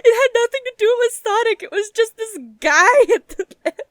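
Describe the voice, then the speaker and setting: A woman's voice: very high voice, normal speed, no echo, clean studio sound. A young adult woman chatting casually as a podcast co-host, giggly and emphatic, with plenty of swearing. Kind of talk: casual chat.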